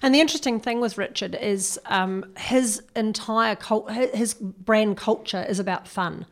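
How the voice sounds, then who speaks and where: This is a middle-aged woman from New Zealand, with the accent to match. Her voice sits at an average pitch for a woman. She talks conversationally, as an interview guest would. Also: moderate pace, vocal fry. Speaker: guest, personal branding consultant.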